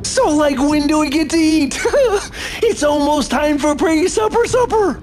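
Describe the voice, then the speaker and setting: A man playing a goofy, cowardly, always hungry young slacker in a cartoon video game, with a reedy, cracking voice and slangy, drawn-out talk peppered with "like". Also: extremely high pitch, animated, darkish tone. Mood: upbeat. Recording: background music under dialogue.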